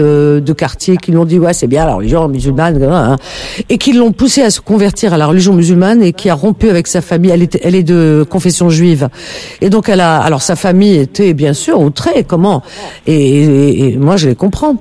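A woman's voice: lowish voice, lively intonation, a little fast, warm tone. A woman hosting a call-in radio show, lecturing her caller in an emphatic, indignant way.

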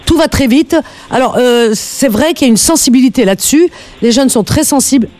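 A woman with a very high-pitched voice hosts a live radio call-in. She speaks earnestly and emphatically, often repeating a phrase to drive it home. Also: brisk, a little mellow, soft background music.

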